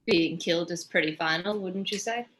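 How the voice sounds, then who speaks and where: A woman's voice: lowish voice, normal speed, a bit bright, close to an everyday voice. A woman playing a cool, unsentimental widow with a dry, morbid manner in a script table read.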